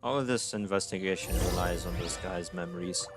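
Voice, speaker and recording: Male game streamer, very deep voice, casual reaction commentary over clearly audible game music. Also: fairly quick. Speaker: livestreamer playing a game. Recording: music behind the voice.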